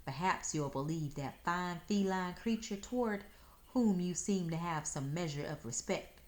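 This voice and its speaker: A woman's voice: fairly low pitch, unhurried, darkish tone, natural voice. A woman voicing a proud, pompous male storybook fox who speaks formally and stammers and pleads when rattled. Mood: sniffling, pompous.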